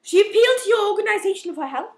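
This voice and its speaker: A woman acting a stern, relentless police inspector in an amateur radio play, questioning in a measured, accusing manner. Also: extremely high pitch, animated. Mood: stern, probing. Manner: probing, measured, morally accusing. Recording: roomy, echoing amateur recording.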